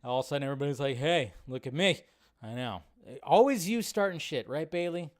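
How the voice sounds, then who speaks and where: Male livestream host, slightly high clear voice, rambling and colloquial, talks straight to the viewers. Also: expressive, a little fast. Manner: rambling, colloquial, swears casually; addresses viewers directly. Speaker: live show host. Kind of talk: casual livestream chat.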